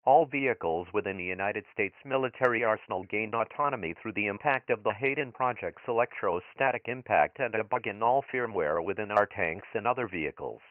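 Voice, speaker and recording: A man voicing an impersonal emergency broadcast announcer, reading formal scripted alerts in a flat, official manner through a radio filter. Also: lowish voice, no room sound. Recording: tinny phone-like emergency broadcast.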